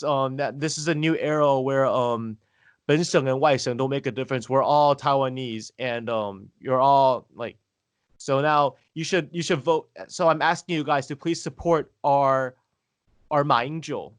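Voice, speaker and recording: A man leads a podcast conversation, laying out history step by step in a steady, explaining voice. He speaks close to the mic in a dry room with no echo, and his pitch sits in an ordinary middle range. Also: normal speed, clean tone. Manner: explanatory, steady, asks leading questions. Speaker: podcast host.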